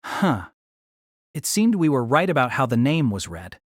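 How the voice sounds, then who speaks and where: A man's voice: mid-range voice, very animated, normal speed, no room sound, studio quality. An actor playing a wry, exasperated young man, delivering wordy first-person story narration and dialogue in a deliberating, self-conscious way. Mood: indignant, placating.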